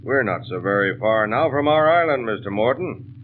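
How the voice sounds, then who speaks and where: An actor in a 1930s radio drama playing a plainspoken, uneasy ship's captain with folksy, salty speech. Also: male voice, lowish voice, expressive, normal speed, lightly acted. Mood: steady. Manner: folksy, salty seaman's talk. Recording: muffled, noisy 1930s radio recording.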